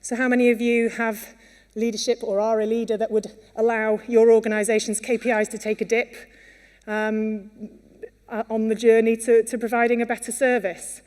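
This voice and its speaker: A woman's voice: a little high, level tone, normal speed, darkish tone. A Welsh woman giving an earnest conference talk, with many fillers and restarts. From Wales. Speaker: conference speaker. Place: conference hall. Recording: long hall reverb.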